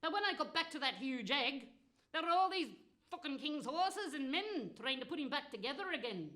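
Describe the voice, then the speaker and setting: Woman playing a high, bright, spiteful fairy tale witch. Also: very animated, normal speed, heavily acted. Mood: gleeful, mischievous. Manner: theatrical, cackling, sweary. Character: spiteful, gleeful, foul-mouthed fairy tale witch telling her tales. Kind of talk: comic storytelling monologue.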